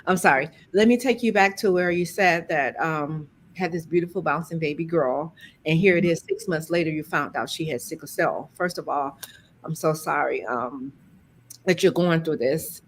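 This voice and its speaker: Scratchy, lower-voiced woman host. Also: normal speed. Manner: sympathetic, encouraging. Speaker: host, interviewer. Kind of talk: conversational online interview hosting. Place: remote video call.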